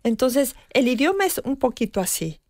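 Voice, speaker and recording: Thoughtful woman in her fifties, unhurried. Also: high-pitched, sing-song, darkish tone, no room sound, studio quality. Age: 50s. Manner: thoughtful, measured, with frequent pauses and hesitations. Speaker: guest expert on language learning. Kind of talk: radio conversation.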